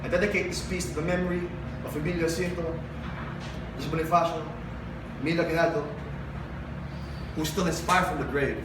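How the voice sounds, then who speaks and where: A Filipino man with a high-pitched voice performs spoken word at a live event, mixing poetry with casual stage talk. The recording is distant and roomy, with clear background noise. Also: steady tone, brisk, long pauses. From Philippines. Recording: roomy, distant mic, noisy live venue.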